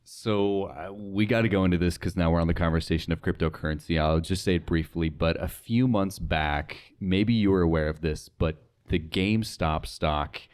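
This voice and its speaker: A man's voice: sits low, normal speed, few pauses, a little mellow, smooth. A man with an American accent talking casually as an interviewer on a conversational show.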